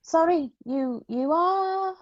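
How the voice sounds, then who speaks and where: A woman's voice: extremely high pitch, slow pace, muffled recording. A woman reading the part of a polite, flustered hostess mum in an amateur online play reading.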